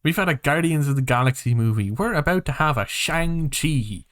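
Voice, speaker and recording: A man co-hosting a casual film and game podcast, talking in an animated, halting, rambling way with plenty of swearing. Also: fairly high pitch. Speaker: podcast co-host.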